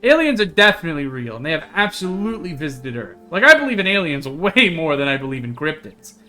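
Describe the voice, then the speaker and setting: A bright-voiced, talkative young woman monster hunter from a visual novel, read out by a man. She is earnest and eager, with a folksy turn of phrase, and music plays behind her. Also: a little high, big pitch swings, fast. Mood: excited. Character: chatty, earnest young woman who hunts cryptids.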